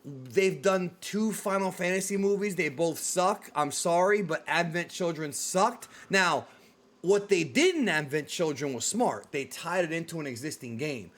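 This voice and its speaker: A man's voice: fairly high pitch, expressive, measured pace, a bit bright. A man hosting a casual, opinionated discussion, speaking emphatically and repeating himself to drive points home. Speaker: host of a video game and film talk show.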